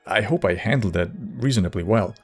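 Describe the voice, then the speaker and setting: A man giving scripted video narration in a measured way with dry, wry humour. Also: lowish voice, expressive, slightly rough. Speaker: video creator and modder. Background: faint music.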